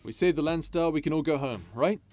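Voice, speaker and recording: Man playing a higher-voiced, sarcastic, skeptical companion. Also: a little fast, a little mellow, close to an everyday voice. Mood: challenging, dismayed. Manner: blunt, questioning, sarcastic. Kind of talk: scripted audio roleplay drama.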